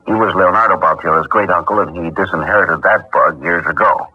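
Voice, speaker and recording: An actor playing an earnest, wary museum investigator in 1940s radio drama style. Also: male voice, deep voice, brisk, slightly rough. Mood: earnest, explaining. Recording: dull, faintly noisy 1940s radio recording.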